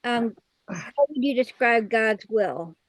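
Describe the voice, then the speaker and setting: Woman, Bible study leader, slightly high voice, dry close sound, affirming, casual group talk. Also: takes it slowly, dry recording, rough recording. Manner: affirming, frequent "mm-hmm".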